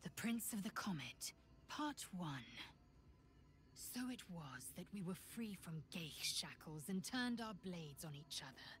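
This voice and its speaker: A woman's voice: fairly low pitch, sing-song, slow. An actress voicing a proud, harsh female githyanki warrior in a video game, speaking in a clipped, grave, disdainful way.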